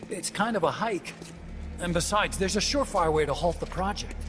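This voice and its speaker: An actor playing a nervous, guilt-ridden young scientist in a game cutscene, explaining quickly and at times slipping into subdued hesitation. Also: male voice, sits high, animated, background noise. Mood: briefing. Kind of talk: video game cutscene acting. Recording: music playing underneath.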